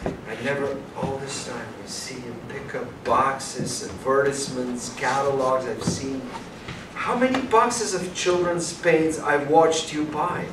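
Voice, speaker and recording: A man's voice: medium pitch, unhurried, lightly acted. A man playing an earnest, self-doubting young detective in a staged play reading. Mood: muttering, frustrated. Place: small indoor performance room with audience. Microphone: far camera. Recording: roomy, distant mic, faint noise.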